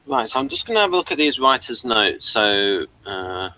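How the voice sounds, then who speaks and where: A man co-hosting a casual chat, chiming in with quick, brief remarks. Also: medium pitch, huge pitch swings, sharp tone, a little hiss or hum, poor audio. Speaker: podcast co-host.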